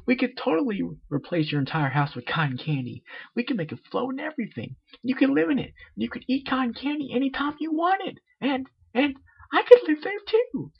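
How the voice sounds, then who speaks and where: Bubbly childlike mare for storybook reading played by a man, squealing high, excitable, lo-fi. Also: brisk, gravelly, breathy edge, exaggerated voice. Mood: gleeful. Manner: gushing, excitable falsetto. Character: hyper, bubbly female cartoon pony, childlike and eager.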